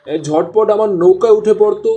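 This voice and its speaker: A man's voice: sits high, a little fast, warm tone, faint music behind, natural voice. A man playing an eager, chatty village fisherman in a cartoon. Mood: cheerful, coaxing. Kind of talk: cartoon dialogue.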